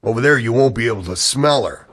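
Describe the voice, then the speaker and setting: An actor playing a grave, embittered conspirator in an old-style radio drama, speaking with ominous, insistent drama. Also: male voice, medium pitch, lively intonation, quick pace, background noise, lightly acted. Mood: earnest warning. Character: grave, embittered male conspirator hunting hidden creatures. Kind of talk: 1940s radio drama acting.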